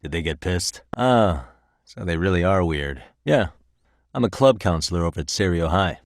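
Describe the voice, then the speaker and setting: A man's voice: deep voice, lively intonation, moderate pace, no room sound. An actor in his thirties with an American accent playing an easygoing, wry private detective in casual video game dialogue. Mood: curious, relaxed. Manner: casual, dry wry humour.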